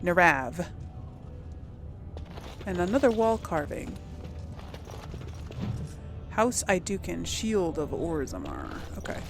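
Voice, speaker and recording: A woman's voice: fairly low pitch, very animated, takes it slowly, long pauses, over music. A woman hosting a casual video game let's play, rambling and musing to herself as she goes. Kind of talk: casual game commentary, thinking aloud. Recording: faint background noise.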